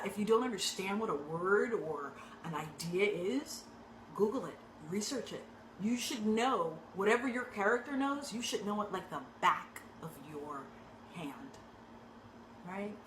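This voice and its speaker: Woman acting coach, brisk teaching talk, mid-range voice, recorded in a reverberant room. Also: medium pitch, a little hiss or hum. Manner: conversational, frequent rhetorical "right?" tags; animated and emphatic. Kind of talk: direct teaching talk to actors. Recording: echoey room with faint ambience.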